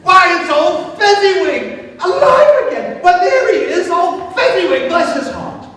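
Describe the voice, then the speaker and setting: A man's voice: very high voice, a little slow, voice pushed up, exaggerated voice. A man doing an old man exclaiming in delight. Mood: excited. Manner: excited exclamations. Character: old man, amazed and nostalgic. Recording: echoey hall from far off.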